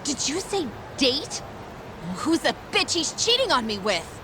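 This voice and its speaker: A woman's voice: high voice, big pitch swings, measured pace, noisy background. A woman playing a hot-tempered, jealous, foul-mouthed young woman in a video game dub. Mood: jealous, angry.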